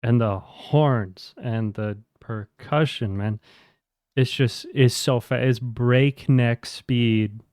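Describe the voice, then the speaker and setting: An enthusiastic man co-hosts a casual music podcast, swearing freely as he raves about records. His voice is smooth and resonant but sounds worn from talking, and it is captured in a dry, close recording. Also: medium pitch, slow, a little mellow, no echo. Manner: enthusiastic, swearing, laughing often. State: losing his voice. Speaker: podcast co-host. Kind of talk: casual music review chat.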